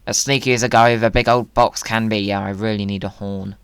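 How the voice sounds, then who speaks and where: A man's voice: fairly low pitch, fairly even intonation, brisk, background noise. A man giving casual, playful gaming commentary with whimsical wording. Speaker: video creator.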